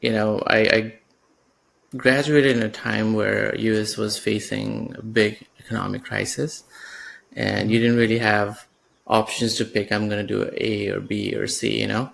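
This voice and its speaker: A man's voice: fairly low pitch, flat delivery, unhurried, vocal fry, fairly bright tone. A man in his thirties from Nepal, a podcast guest talking through his career with lots of fillers and restarts. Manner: reflective, many fillers and restarts. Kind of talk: podcast interview.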